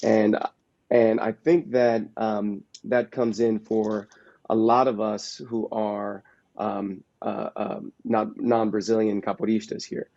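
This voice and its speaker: A man from the San Francisco Bay Area, a capoeira practitioner and actor, speaking in a casual panel discussion, halting and reflective as he searches for his words. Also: lowish voice, flat delivery, warm tone, highs cut off.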